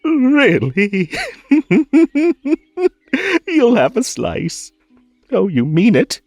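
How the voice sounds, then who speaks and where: A man's voice: high voice, wildly swooping pitch, very slow, darkish tone, no room sound, soft background music, exaggerated voice. A man voicing a possessive, unhinged hare host in a theatrical roleplay audio drama, giggly and stammering, swinging from gushing to menacing. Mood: manic, giddy.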